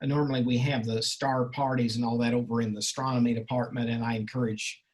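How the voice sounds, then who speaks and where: A male college instructor talking informally with a class in a halting, rambling, encouraging way. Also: mid-range voice, flat delivery, husky. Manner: encouraging, reassuring. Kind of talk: informal class question-and-answer.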